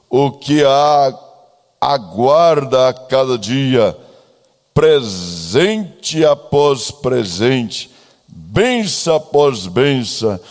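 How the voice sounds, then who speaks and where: A man with a Brazilian accent preaching as a pastor, solemn and deliberate, with emphatic phrasing. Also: medium pitch. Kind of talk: church sermon with a read-out letter. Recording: long echoing hall reverb.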